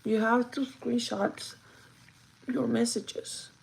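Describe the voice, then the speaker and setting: A young woman with a gravelly, groggy voice chats casually and directly to her viewers, giving advice in an informal vlog style. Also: fairly high pitch, fairly even intonation, slow pace, poor audio. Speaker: vlogger chatting while doing makeup.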